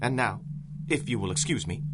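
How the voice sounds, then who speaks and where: A pompous male criminal mastermind played by an actor in an old-style radio serial. He gloats and mocks in grand phrases, his pitch swinging widely over heavy background noise. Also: lively intonation, a little fast, natural voice. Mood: mocking. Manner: theatrical, pompous, mocking. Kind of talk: 1930s-style radio drama acting.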